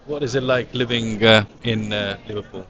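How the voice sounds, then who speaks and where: A man interviewing university representatives, asking short questions slowly, with hesitations. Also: lowish voice, fairly even intonation, lo-fi sound. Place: busy education expo hall. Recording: crowd noise behind. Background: crowd chatter.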